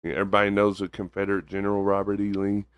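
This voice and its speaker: A young man with a monotone baritone voice, co-hosting a casual podcast chat. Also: rapid-fire, smooth, dry recording, clean studio sound. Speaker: podcast co-host.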